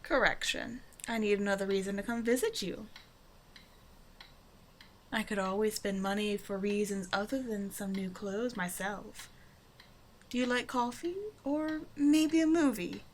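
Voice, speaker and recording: Woman acting as a flirty female supervillain for a roleplay audio, teasing n sure of herself, casual talk, mid pitch, some noise behind her. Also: measured pace, lightly acted. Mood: teasing, flirtatious. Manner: teasing, casual, self-assured. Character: flirtatious female supervillain, a demanding but generous tailor's customer. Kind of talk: roleplay audio drama, intimate one-sided dialogue to listener.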